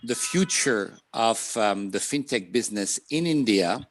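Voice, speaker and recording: A man from France with a French accent, presenting as a panelist on a video call, measured and pausing with "um" between points. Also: on the low side, lively intonation, slight background noise.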